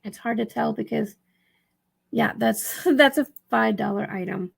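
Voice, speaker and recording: A woman with a fairly high voice and some creak in it walks viewers through a task. She sounds raspy, tired and dry-throated. Her delivery is casual and rambling, with thoughts said aloud and corrected as she goes. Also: unhurried. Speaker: vlogger giving reselling tips. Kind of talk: casual screen walkthrough tutorial.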